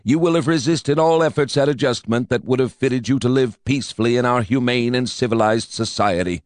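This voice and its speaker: A man's voice: mid-range voice, a little fast, dry recording, studio quality, natural voice. A man voicing a male prison announcer with a repulsive nasal whine, reading a cold official notice.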